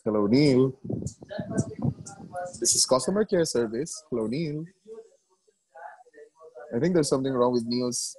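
A man's voice: mid-range voice, unhurried, pauses often, a crowd in the background, rough recording. A man teaching an online English class over a video call, coaxing students to answer and often repeating names and words.

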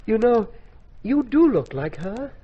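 A sick young British officer in an old radio play. His voice is husky and swings up and down, halting and weak with fever. The vintage recording is dull. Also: high voice, sing-song, exaggerated voice. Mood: feverish. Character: feverish, wounded young British captain, homesick and gentle. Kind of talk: 1950s radio drama acting.

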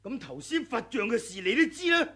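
An actor playing a treacherous, greedy aging male sword master in a 1980s radio drama, sly and sneering, with sudden furious yells. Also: very high-pitched, big pitch swings, fairly quick, exaggerated voice. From Hong Kong.

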